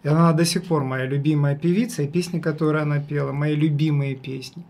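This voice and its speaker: A man with a high tenor voice, talking casually as an interview guest, haltingly and repeating his phrases for emphasis. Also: fairly even intonation, a little mellow. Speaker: guest, singer and vocal teacher. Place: small radio studio. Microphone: studio condenser microphone.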